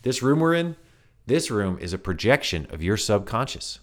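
A man voicing a wiry male stranger with a light, reedy voice who talks in a calm, cryptic, faintly menacing way. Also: fairly low pitch, normal speed, voice pushed up. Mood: explaining.

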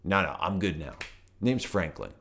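A relaxed old toad with a loose, drawling, slangy manner, lifted well above the narrator's own deep voice and often loud, as a man puts him on. A storybook loner who takes everything in stride. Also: normal speed, vocal fry, husky, full character voice. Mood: easygoing. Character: laid-back lonely old male toad.

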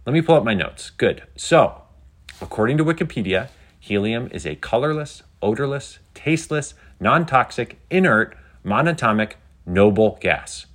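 An excitable schoolgirl livestreamer played by a man, bold and geeky. The voice is lifted high and chatty, addressing an online following in a children's adventure story. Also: moderate pace. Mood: chatty, teasing. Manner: bubbly, chatty, addressing viewers. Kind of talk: scripted children's audio story.

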